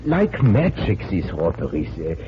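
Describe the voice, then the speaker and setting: An actor playing a troubled, courteous immigrant diamond cutter with a continental European accent and hesitant, repetitive phrasing, in 1940s radio style. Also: male voice, low-pitched, huge pitch swings, measured pace, heavily acted. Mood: anxious, bewildered. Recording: dull, noisy 1940s radio recording.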